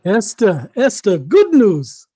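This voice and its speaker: A man's voice: high voice, lively intonation, unhurried, a little mellow, no echo. A man acting in a play reading as a middle-aged uncle, pleading and repeating himself emphatically. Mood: excited, pleased. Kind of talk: theatrical stage play reading.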